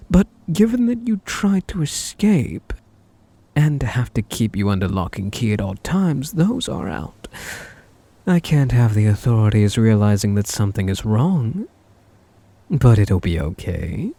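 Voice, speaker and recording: Breathy, possessive yandere groom, acted by a man. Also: medium pitch, warm tone, no room sound, heavily acted. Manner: doting endearments laced with menace. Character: obsessive possessive male stalker groom, sweetly menacing captor. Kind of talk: ASMR roleplay audio drama, addressing the listener.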